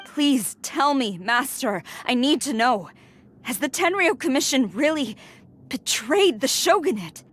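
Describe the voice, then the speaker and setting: A woman's voice: high voice, lively intonation, measured pace, fairly bright tone, slight background noise. An actress playing an earnest, dutiful young warrior woman in a video game drama, speaking with solemn, formal intensity. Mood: pleading.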